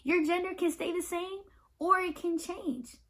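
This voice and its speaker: A high-voiced American woman hosts a picture book read-aloud for children. She talks straight to her young listeners in an affirming, reassuring way, with expressive repetition to drive points home. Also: a little slow. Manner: expressive, repeats phrases for emphasis.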